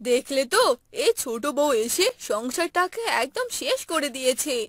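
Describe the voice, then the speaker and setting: Exasperated elderly mother-in-law, played by a woman: high, animated, sharp-tongued cartoon scolding over clear music. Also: normal speed, no room sound. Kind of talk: Bengali cartoon voice acting.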